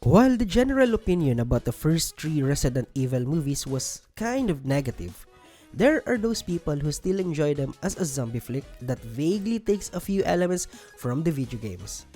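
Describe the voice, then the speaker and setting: A woman with a fairly low voice delivers scripted countdown commentary. Her intonation is very animated, with sardonic, emphatic opinions. Light music plays underneath. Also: moderate pace. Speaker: video narrator. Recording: music bed under voice-over. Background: background music.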